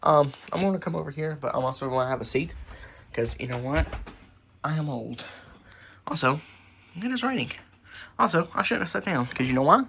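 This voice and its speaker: A man's voice: on the high side, lively intonation, brisk, vocal fry, long pauses, fairly bright tone, slight background noise. A man giving a casual, rambling show-and-tell as a hobbyist host, muttering asides and swearing mildly. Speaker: radio collector and host. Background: weather radios playing.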